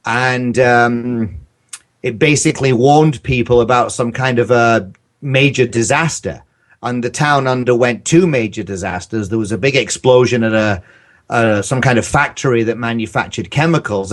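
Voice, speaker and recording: A man's voice: mid-range voice, normal speed. A man chatting casually as a podcast guest, telling stories in a rambling, hesitant way. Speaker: podcast guest, audio drama creator.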